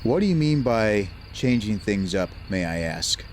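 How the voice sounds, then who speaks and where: A man playing a smug, scheming talking train engine in an audio drama, with an ominous, deliberate delivery. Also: fairly low pitch, very animated, a little hiss or hum. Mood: sly. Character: scheming, smug male engine, spinning ghost tales. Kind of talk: audio drama character acting. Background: music, cricket and insect night sounds.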